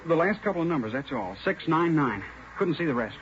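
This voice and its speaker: An actor playing an earnest, polite young navy sailor as a hesitant eyewitness in 1940s radio drama style. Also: male voice, on the high side, sing-song, a little fast. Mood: cooperative. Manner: hesitant yet eager, polite. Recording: muffled, noisy 1940s radio broadcast.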